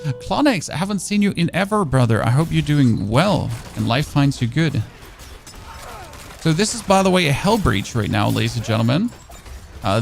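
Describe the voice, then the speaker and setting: Gravelly man chatting on stream. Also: fairly high pitch. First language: German. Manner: rambling, answers chat questions, frequent restarts. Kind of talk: casual gameplay streaming chat. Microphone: studio dynamic microphone.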